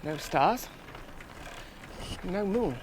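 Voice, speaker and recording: A young british army officer, higher voice, up and down a lot, earnest and jittery, old radio play. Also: male voice, animated, very slow, a little hiss or hum, full character voice, rough recording. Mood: uneasy musing. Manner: earnest, precise, musing, self-reassuring. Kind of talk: 1970s radio play monologue.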